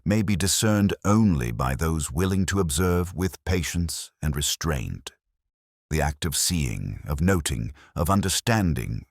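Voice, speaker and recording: A man narrating a first-person detective story in a measured, literary, contemplative manner. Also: sits low, dry recording, studio quality. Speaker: audiobook-style narrator.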